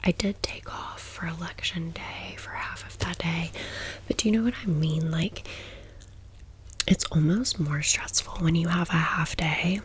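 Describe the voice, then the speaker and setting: A woman's voice: on the low side, fairly bright tone, poor audio. An American woman in her thirties whispering a personal story as an ASMR host, chatty and confiding, drifting into asides.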